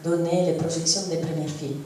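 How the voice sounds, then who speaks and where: A woman's voice: low voice, steady tone, normal speed, dark tone, slight background noise. A woman interpreting live, searching for words, hesitant. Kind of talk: live translation. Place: cinema hall. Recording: echoey hall, distant mic.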